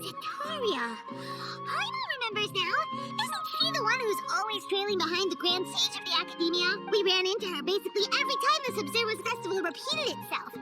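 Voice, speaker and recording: A woman voicing a tiny, chatty, excitable fairy companion in a video game, with a squeaky childlike voice and a perky, expressive manner. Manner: perky, expressive, refers to herself by name. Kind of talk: scripted video game voice acting.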